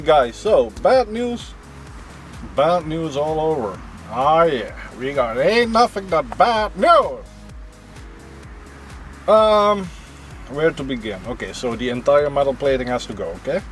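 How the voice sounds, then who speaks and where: A man with a Dutch accent hosting a casual car repair walkthrough, chatting to a helper and calling viewers guys. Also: medium pitch. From Netherlands. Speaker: car repair channel host. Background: background music.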